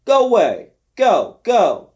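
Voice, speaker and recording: A man reading an audiobook, voicing an angry, ailing old husband who barks short, shouted commands. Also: high-pitched, wildly swooping pitch, takes it slowly, voice pushed up, heavily acted. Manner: shouting, chewed-out short words. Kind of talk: audiobook character voice.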